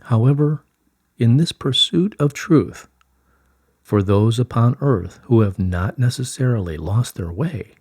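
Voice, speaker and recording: A man reading as a serene collective of higher light beings, in a stately, formal, measured manner. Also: lively intonation, a little mellow, slightly rough, no echo, clean studio sound. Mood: solemn, benevolent. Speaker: reader of channelled messages. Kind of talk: formal, scripted channelled-message reading.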